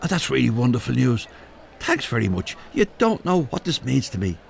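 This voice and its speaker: An actor playing a polite, earnest and excitable young man with a wordy manner in a comedy audio drama. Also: medium pitch, moderate pace, creaky, noisy background. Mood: delighted, relieved.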